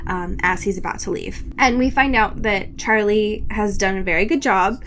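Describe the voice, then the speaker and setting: A woman's voice: medium pitch, normal speed, fairly bright tone, a little hiss or hum. A woman co-hosting a podcast, casually recapping a book in a gushing, sweary way. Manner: gushing, sweary, often laughing. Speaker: podcast co-host.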